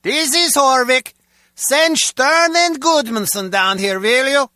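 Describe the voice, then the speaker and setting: Henchman in charge for a game, really high sharp voice, slowish, brisk orders. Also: no room sound, studio quality, full character voice. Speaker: guard. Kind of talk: video game dialogue.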